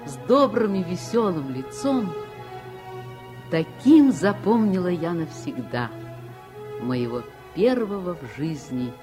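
A woman playing a grown narrator who recalls childhood, expressive and heartfelt, in a Soviet radio play. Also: lowish voice, slow pace, music in the background. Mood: heartfelt, solemn.

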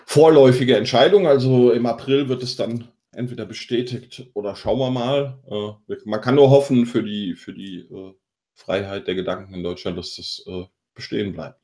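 Male podcast co-host, mid pitch, halting reader of news items. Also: steady tone, warm tone. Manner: halting, reads from notes with many pauses. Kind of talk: casual news commentary.

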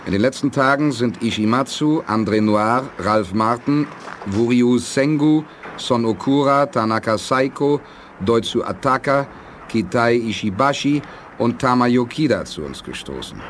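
An actor playing a self-assured, probing leader of a space-age base in a 1980s German radio play, authoritative and deliberate. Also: male voice, mid-range voice, expressive, a little hiss or hum, natural voice. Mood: confident.

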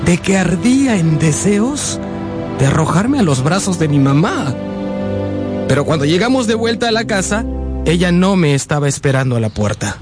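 A sensitive young man tells his own story in a radio drama. His voice is slightly high and very lively in intonation, with music clearly behind it. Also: moderate pace. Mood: wistful. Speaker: narrator. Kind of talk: dramatised first-person narration for radio.

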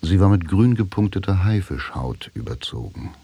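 A man's voice: low-pitched, very animated, normal speed, dark tone, no echo. A man delivering scripted narration for a radio play. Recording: clear music behind the voice.

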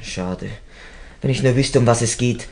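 A man's voice: mid-range voice, moderate pace, a little mellow, close to an everyday voice. A man playing an eager, cheeky young detective's assistant in a German radio play, pleading and a little sulky.

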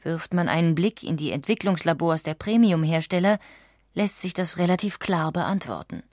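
A woman narrates in standard German with a fairly low voice. The read is scripted, factual and measured, like a documentary audiobook, with a very dry, close sound. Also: lively intonation, darkish tone, no echo.

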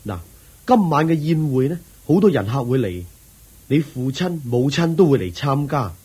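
A devoted young nobleman in a radio drama, with a Hong Kong Cantonese accent. His voice is mid-pitched and strongly husky. He speaks in an attentive, affectionate manner. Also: sing-song, moderate pace, a little mellow.

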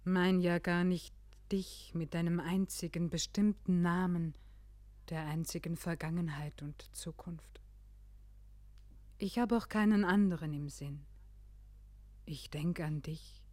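An actress in a German radio play, playing a wistful schoolteacher who is no longer young, hesitant and dreamy in manner. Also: female voice, on the low side, steady tone, slow pace, pauses often, darkish tone, no echo. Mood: intimate, yearning.

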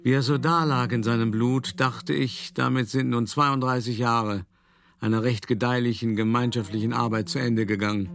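A shrewd older art dealer reminiscing. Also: male voice, medium pitch, steady tone, gravelly, a bit bright, faint music behind. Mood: wry, matter-of-fact. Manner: reflective, conversational storytelling with deliberate pauses. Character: older male art dealer, shrewd, self-satisfied, recounting his past. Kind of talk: radio drama first-person monologue.

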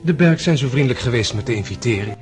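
A man's voice: mid-range voice, lively intonation, fast, over music, natural voice. A man playing a polite, admiring young prince in a radio play. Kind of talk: radio play acting and narration.

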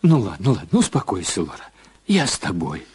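Scheming, soothing husband, very expressive. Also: male voice, fairly low pitch, brisk, husky, full character voice. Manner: expressive, reassuring, persuasive. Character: composed, controlling new husband, soothing and scheming. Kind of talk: radio play acting.

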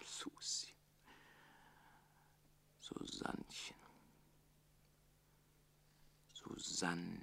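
Theatrical barber-servant with a very lively delivery. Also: male voice, sits low, creaky, heavily acted. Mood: weary, broken. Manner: declamatory, swinging from exuberant to hushed. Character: witty, theatrical, self-pitying barber-servant recounting his life. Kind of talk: theatrical radio drama monologue.

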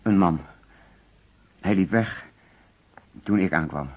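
An actor playing a nervous, evasive suspect under police questioning in a radio play, hesitant, defensive and repeating himself. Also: male voice, deep voice, slow, husky, full character voice. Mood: hesitant, uneasy. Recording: dull, fuzzy old radio recording.

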